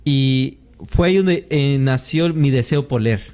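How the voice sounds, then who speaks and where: A man from Costa Rica is the guest in a faith interview, telling his own story. His voice sits at an average pitch, and he speaks thoughtfully, pausing and searching for words as he recalls things. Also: a little mellow. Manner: reflective, thoughtful storytelling with pauses. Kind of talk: personal testimony interview.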